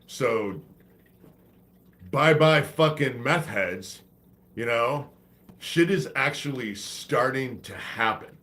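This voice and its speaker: A man's voice: mid-range voice, animated, slow pace, pauses often, fairly bright tone. A man hosting a live stream while sick with a congested head cold, talking in a loose, rambling, profane way. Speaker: livestream host. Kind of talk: rambling casual livestream talk.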